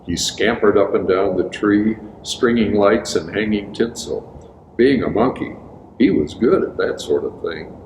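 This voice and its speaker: Deep-voiced man reading slowly in echoey space. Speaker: storyteller reading a children's book aloud. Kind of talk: expressive storybook read-aloud with asides to children. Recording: echoey reverberant room with background hiss.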